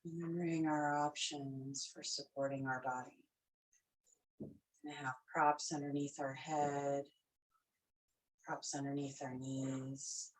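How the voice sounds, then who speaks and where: A woman yoga instructor guiding a yoga nidra session, speaking slowly and softly in short, calm phrases. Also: sits low, low-quality recording. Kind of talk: guided yoga nidra meditation.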